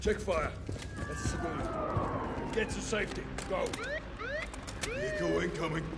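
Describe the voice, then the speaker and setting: An actor playing a tough special forces leader in a military shooter game, gruffly barking profane orders. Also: male voice, high voice, huge pitch swings, moderate pace, very noisy, full character voice. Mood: urgent, commanding. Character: tough, commanding special forces soldier leading a raid. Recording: loud music over the voice.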